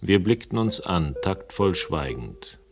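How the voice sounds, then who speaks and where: A man's voice: low voice, very animated, slow, music in the background, exaggerated voice. An actor playing a wry, urbane investigator who narrates his case with literate irony in a German radio crime drama. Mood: dry, wry. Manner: ironic, literate, ornate wording.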